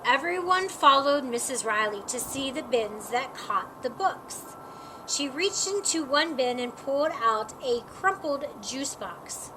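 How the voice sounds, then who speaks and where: A woman with a high, very lively voice reads a picture book to children. She stresses and stretches key words in an expressive storytime delivery. There is noticeable background noise in the recording. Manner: animated, emphatic, drawn-out key words. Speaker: storytime reader.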